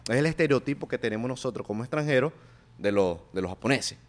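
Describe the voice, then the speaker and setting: A young man chatting as a podcast guest, easygoing and slangy, often trailing into a low mutter. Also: expressive, quick pace. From Korea. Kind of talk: casual chat.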